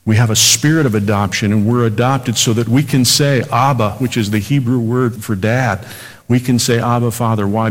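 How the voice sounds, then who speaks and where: A man preaching a radio sermon, earnest, slowing down when he quotes scripture. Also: lowish voice, in a large hall. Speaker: pastor.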